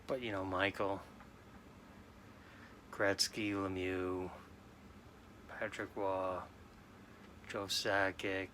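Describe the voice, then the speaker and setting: A man in his late forties from New York, talking slowly and haltingly in a sad, subdued way as a sports card vlogger. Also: low voice, flat delivery, pushed and bright, a little hiss or hum. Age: 40s. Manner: halting, subdued, many restarts. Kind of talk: casual personal talk to camera.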